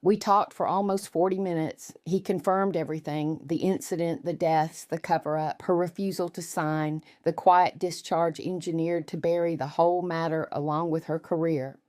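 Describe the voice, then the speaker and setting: Female story narrator, low register, dry close sound, scripted first-person delivery. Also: fairly even intonation, moderate pace, hardly any pauses, a little mellow, no echo.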